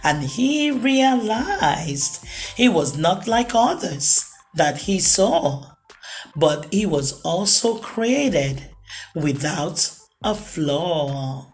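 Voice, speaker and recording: Woman, children's read-aloud, very slow pace, lively swooping pitch, background music. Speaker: children's storytime reader and host.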